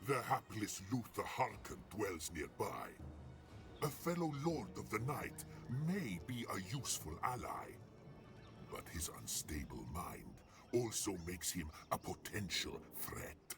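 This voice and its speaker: An actor playing a haughty undead vampire pirate count, menacing and grandiose, in a pompous theatrical manner over game music. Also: male voice, very animated, a little slow, heavily acted. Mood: grandiose menace. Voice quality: deep gravelly voice. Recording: game cutscene with loud music.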